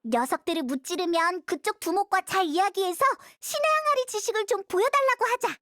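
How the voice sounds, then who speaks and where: A woman's voice: very high-pitched, animated, brisk, a bit bright, no echo. A woman voicing a small, cheerful floating fairy companion in an anime game, childlike and earnest, given to exclaiming.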